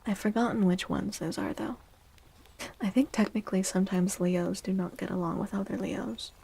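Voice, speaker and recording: A woman speaking softly and gently in a breathy near-whisper, chatting casually as an ASMR streamer giving readings. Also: mid-range voice, fairly even intonation, moderate pace. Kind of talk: soft-spoken ASMR, casual chat.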